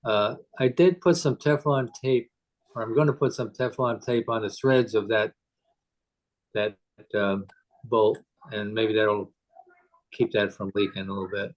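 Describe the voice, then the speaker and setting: A man's voice: on the low side, steady tone, measured pace. A man talking casually on a technical group call as a club member asking repair questions, thinking aloud in a hesitant way.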